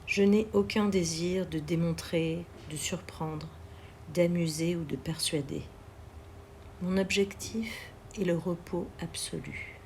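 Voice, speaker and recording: A woman reads literary poetry aloud in a measured, deliberate way, pausing between phrases. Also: lowish voice, darkish tone, slight background noise. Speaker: poetry reader.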